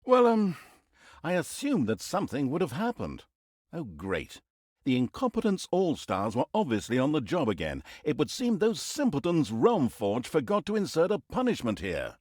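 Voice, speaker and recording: A man's voice: on the high side, a little mellow, no room sound, clean studio sound. A man playing a sardonic, mock-grand storyteller who narrates a comic fantasy game with dramatic, tongue-in-cheek delivery and an easily exasperated temper. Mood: exasperated, sarcastic.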